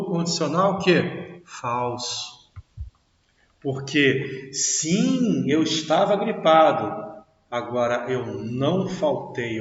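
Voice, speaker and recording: A man with a Rio de Janeiro accent teaches a logic class. He talks in a lively way, asks the class questions and answers them himself. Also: mid-range voice. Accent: Rio de Janeiro Portuguese. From Brazil. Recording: echoey classroom, distant dull mic.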